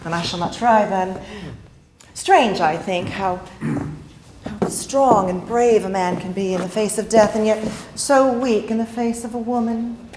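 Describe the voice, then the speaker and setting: A stage actress in her thirties playing a mysterious, teasing foreign lady with formal, deliberate phrasing. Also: medium pitch, natural voice. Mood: coaxing, teasing. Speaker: stage actor. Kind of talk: live theatrical stage acting. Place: theater stage, indoors. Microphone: distant camera. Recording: echoey theater stage, faint hiss.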